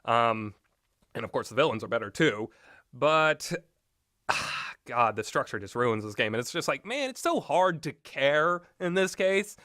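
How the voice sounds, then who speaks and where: A man's voice: medium pitch, big pitch swings, unhurried, bright, forceful tone, no room sound, studio quality. A man giving rambling, informal and opinionated commentary on games, speaking off the cuff with emphatic repetition. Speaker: commentator reviewing visual novel games.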